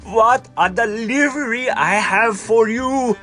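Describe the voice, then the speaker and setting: Jolly village postman, storybook, big exclamations, pitched up man acting. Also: slow, sharp tone, soft background music, heavily acted. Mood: jolly, excited. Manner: exuberant, exclaiming.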